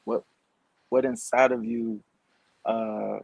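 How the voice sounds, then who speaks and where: A man's voice: medium pitch, flat delivery, slow, gravelly, a little mellow, dull audio. A man co-hosting a podcast in casual interview chat, emphatically rephrasing things for listeners. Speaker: podcast co-host.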